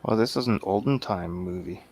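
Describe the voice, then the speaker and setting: A man with a fairly low voice banters about films. He keeps it casual, tossing out short, blunt remarks as one of a panel. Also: brisk, darkish tone, slight background noise. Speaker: film review show panelist.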